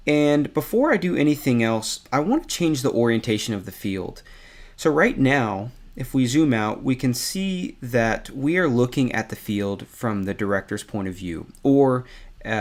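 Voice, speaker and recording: A man narrating a step-by-step software tutorial as an instructor, in a conversational, explanatory way. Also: mid-range voice.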